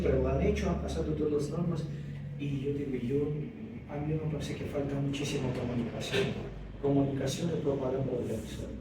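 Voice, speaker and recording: Halting man speaking from the floor. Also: mid-range voice. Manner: halting, searches for words. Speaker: audience member asking a question. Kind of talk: question from the floor. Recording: roomy echo, distant mic, faint hiss.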